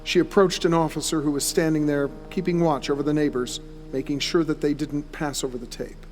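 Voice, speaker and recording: A man's voice: a little high, steady tone, a little fast, a little mellow. A man reading scripted, descriptive story narration for an audio drama, like a storyteller. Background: music.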